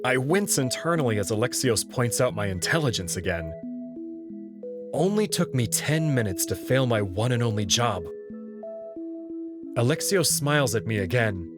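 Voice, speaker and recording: A man with a mid-range voice narrates a first-person story. His intonation is highly varied as he shifts between description and dialogue, and music plays clearly behind him. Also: big pitch swings, moderate pace. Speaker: narrator and player reader.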